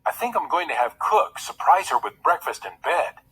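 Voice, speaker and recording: A man's voice: a little high, sing-song, normal speed, pushed and bright, breathy edge, full character voice, poor audio. A man voicing a fussy, pampered young storybook prince with an exclamatory manner. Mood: breezy, eager.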